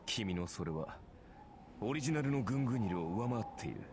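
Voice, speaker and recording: Guy acting as deep voiced sardonic game warrior, dry n formal, talks quick, noisy bg. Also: lively intonation, lightly acted. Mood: impressed, dry. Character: composed, sardonic male warrior spirit in red, battle-worn. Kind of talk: Japanese visual novel voice acting.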